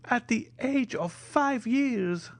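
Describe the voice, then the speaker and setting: A man's voice: high voice, big pitch swings, slow pace, no echo, voice pushed up, full character voice. A man voicing a timid, eager old Italian ex-major who fawns and exclaims. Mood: eager, fawning. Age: older.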